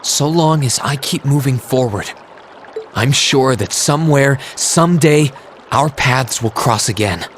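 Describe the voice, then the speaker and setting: Man playing teenage brother, earnest and self-doubting, sincere game cutscene delivery, middling pitch, faint running water behind. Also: unhurried, a little hiss or hum, lightly acted. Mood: resolved, hopeful. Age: young adult. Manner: sincere, reflective. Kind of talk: video game cutscene voice acting. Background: trickling water ambience.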